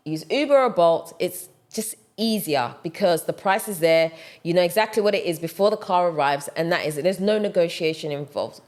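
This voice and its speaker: Woman, low-pitched, emphatic, direct, casual advice to camera. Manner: direct, emphatic, repeats points for stress. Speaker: vlogger giving advice.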